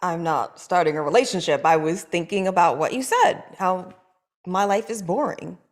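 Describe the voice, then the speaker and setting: Blunt, dry-witted woman reading a script. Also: fairly low pitch. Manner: dry, blunt, quick comebacks. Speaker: actor in a table read. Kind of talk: script table read, playing Sasha.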